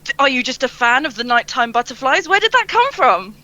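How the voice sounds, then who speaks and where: A woman's voice: high voice, big pitch swings, brisk, pushed and bright, dull audio. A woman co-hosting an interview, asking questions in a casual, chatty style. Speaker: co-host interviewer.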